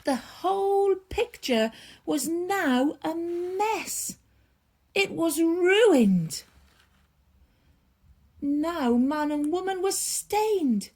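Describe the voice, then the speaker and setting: A woman storyteller reading a children's bedtime story aloud, expressively, with drawn-out emphasis and hushed drops. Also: very high voice, expressive.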